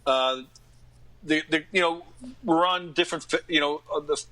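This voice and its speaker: A middle-aged man telling casual anecdotes as an interview guest, acting out what people said and often clearing his throat. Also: fairly high pitch, moderate pace, low-quality recording. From New York area, USA. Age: late 40s to 50s. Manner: animated retelling with acted-out quotes and frequent throat-clearing. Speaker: podcast guest, actor and stand-up comic.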